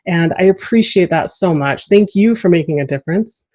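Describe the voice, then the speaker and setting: A woman's voice: fairly low pitch, lively intonation, normal speed, warm tone. A woman hosting a podcast in an effusive, encouraging, chatty way. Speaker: podcast host. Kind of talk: warm, appreciative interview chat and sign-off.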